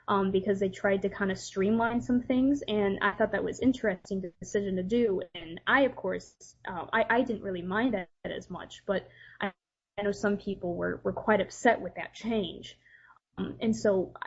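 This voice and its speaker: A woman's voice: mid-range voice, a little mellow, husky. A woman guest on a podcast giving opinions casually, in a hesitant, thoughtful way, on lo-fi audio.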